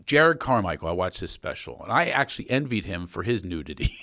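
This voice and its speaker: Playful low-voiced male podcast host. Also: sing-song, fairly quick, bright, forceful tone, no room sound, studio quality. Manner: playful, laughs often, riffs on jokes. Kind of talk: casual chat.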